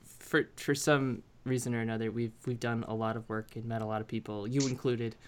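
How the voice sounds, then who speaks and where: An American man hosting a podcast, chatting casually in a rambling, halting way with many restarts. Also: mid-range voice, little pitch movement, smooth. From United States. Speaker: podcast host.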